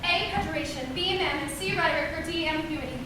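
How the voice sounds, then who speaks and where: Woman playing a very high-voiced deliberating student. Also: quick pace, lightly acted. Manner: deliberating, talking to herself. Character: anxious female student reasoning aloud through a test. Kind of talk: amateur stage play acting. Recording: echoey distant stage, noisy.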